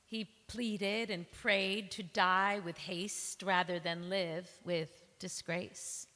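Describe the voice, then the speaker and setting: A woman giving a deliberate, theatrical spoken word reading as one of a cast in a dramatic storytelling piece. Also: fairly low pitch, little pitch movement, bright, forceful tone. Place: large reverberant temple hall. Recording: long hall reverb.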